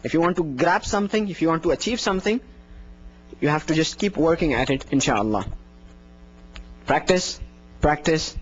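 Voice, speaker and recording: A man with a notably high voice gives an instructional lesson on the call to prayer. He is earnest and encouraging, demonstrates sounds as he explains them, and the recording is dull and lo-fi. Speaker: adhan teacher.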